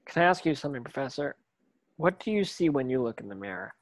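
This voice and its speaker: A man's voice: mid-range voice, very animated, fast, no echo. A man playing an earnest young orphaned boy wizard in a scripted read, plain-spoken and yearning. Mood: curious. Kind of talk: scripted table read acting.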